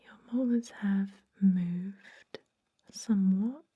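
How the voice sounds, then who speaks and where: A woman playing a soothing, sly vampire dentist in an ASMR roleplay, speaking gently and deliberately in a breathy whisper. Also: mid-range voice, phone-quality sound. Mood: soothing, focused. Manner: slow, gentle, deliberate, softly reassuring.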